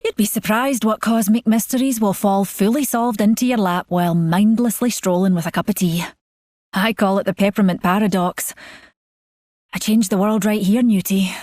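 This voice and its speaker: A woman's voice: medium pitch, fairly even intonation, moderate pace, a little mellow, dry recording, clean studio sound, natural voice. An actress voicing a whimsical, nostalgic older woman scientist, who rambles fondly. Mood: wistful. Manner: rambling, wistful, chatty.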